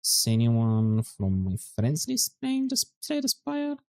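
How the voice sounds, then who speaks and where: A man streaming a game, chatting casually and musing aloud, his voice turning hoarse and scratchy. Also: medium pitch. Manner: relaxed, musing aloud, drawn-out words. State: voice going hoarse, scratchy throat. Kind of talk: casual livestream chat while gaming.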